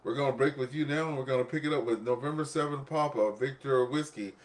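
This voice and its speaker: A man's voice: mid-range voice, fast. A man hosting an amateur radio net in a casual, rambling, chatty way, often repeating himself. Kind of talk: casual ham radio chat.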